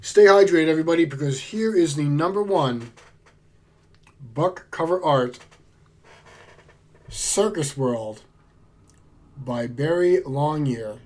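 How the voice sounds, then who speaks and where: A man with a somewhat high speaking voice, a little hoarse from a cold. He talks in a relaxed, chatty way and gets plainly excited about what he is showing. The tone is casual and friendly. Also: slow pace, pauses often. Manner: enthusiastic, chatty, laid-back. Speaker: book vlogger. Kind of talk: casual book haul chat.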